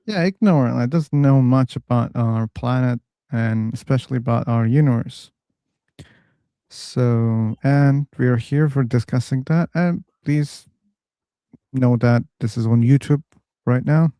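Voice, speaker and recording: Slow, mellow-voiced man hosting a voice chat. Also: medium pitch, clean tone, dry recording, studio quality. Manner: relaxed, chatty, laughs easily. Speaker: host of a live discussion room. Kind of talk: casual live-stream chat.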